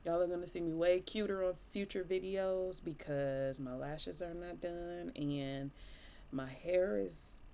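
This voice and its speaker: A woman's voice: fairly low pitch, unhurried, gravelly, mellow. A woman in her thirties, a therapist from Michigan, talking candidly and casually to camera with plenty of fillers while sniffly from allergies. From Michigan, USA. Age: 30s. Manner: chatty, candid, rambling with fillers. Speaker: licensed therapist.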